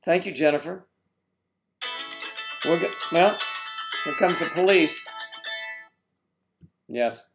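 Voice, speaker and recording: A man's voice: fairly high pitch, animated, slow, gravelly, pauses often, over music. Rambling man hosting a casual livestream. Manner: rambling, stumbles over viewers' names. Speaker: livestream host greeting chat viewers.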